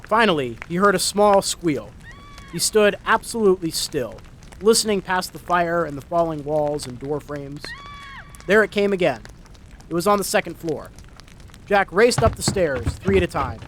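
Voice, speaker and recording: Guy narrating a dramatic story, really varied pitch, noisy effects behind him. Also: lively intonation, normal speed, fairly bright tone. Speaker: narrator, reader. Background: story sound effects.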